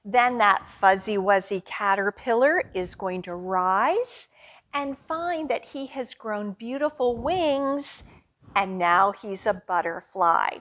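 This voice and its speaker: A woman leads a storytime for young children at an unhurried pace. She speaks simply and directly to her little listeners, as a children's librarian presenting books and activities. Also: mid-range voice, expressive, fairly bright tone. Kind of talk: children's storytime presentation and picture book read-aloud.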